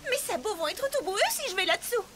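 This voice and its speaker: A woman's voice: extremely high pitch, animated, fast talker, a crowd in the background. A woman voicing a refined, fussy unicorn mare in a cartoon dub, prim and theatrical in her complaints. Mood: haughty. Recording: loud cartoon music behind.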